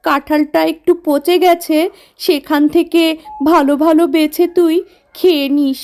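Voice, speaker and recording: A woman's voice: high-pitched, fairly even intonation, a little slow, a little mellow. A woman voicing a stingy, scolding tigress mother in a children's cartoon, with a grudging manner. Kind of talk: children's cartoon story acting.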